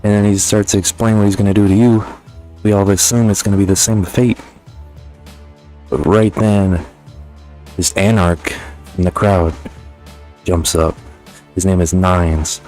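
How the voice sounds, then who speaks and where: A man's voice: low voice, fairly even intonation, unhurried, long pauses, a little mellow, soft background music. A man giving a casual, conversational video game review voice-over in slangy, sometimes profane language.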